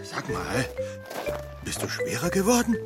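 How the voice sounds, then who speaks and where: Slow, emotional old father. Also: medium pitch, huge pitch swings, over loud music. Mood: bewildered. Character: poor old father, tender, anxious, easily overwhelmed. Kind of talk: children's radio play acting. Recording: clear noisy ambience behind the voice.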